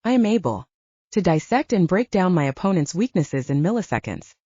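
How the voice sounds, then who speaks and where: A woman's voice: on the low side, big pitch swings, fairly quick, dark tone, breathy edge, no echo. A woman narrating a scripted first-person story as an audiobook narrator, in a slightly dull recording.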